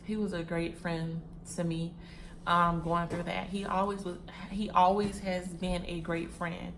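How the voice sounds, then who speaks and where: A woman's voice: on the low side, level tone, unhurried, noisy background. Chatty young woman talking to viewers. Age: 20s. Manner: chatty, repeats phrases, addresses viewers as y'all and sis; packing wigs while talking. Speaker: vlogger. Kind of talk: casual chat to viewers.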